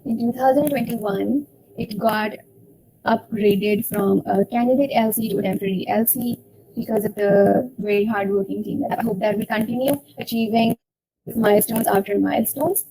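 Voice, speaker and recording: A young woman hosting an online student session, speaking slowly and formally as she welcomes guests. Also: medium pitch, fairly even intonation, warm tone, rough recording. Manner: slow, deliberate, formal phrasing. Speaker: event host for a student association.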